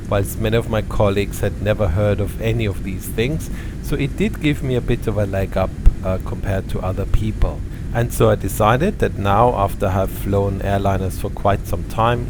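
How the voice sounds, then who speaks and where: A man's voice: on the low side, background noise. A middle-aged man from Austria, a native German speaker with an Austrian German accent, explaining his channel statistics in a friendly, casual way. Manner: friendly, explanatory, occasional small laughs. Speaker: airline pilot and channel host. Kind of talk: casual talk to camera about channel statistics.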